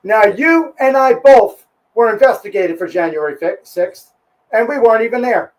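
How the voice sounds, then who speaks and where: A man's voice: high-pitched, expressive, normal speed, rough recording. A man hosting a radio talk show, chatting casually with his guest and often talking over him.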